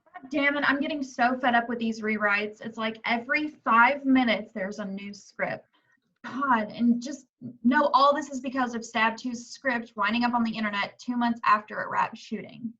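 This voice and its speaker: A woman playing a brash, sharp-tongued TV reporter in an amateur table reading of a film script. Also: a little high, normal speed. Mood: fed up. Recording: dull, muffled home recording.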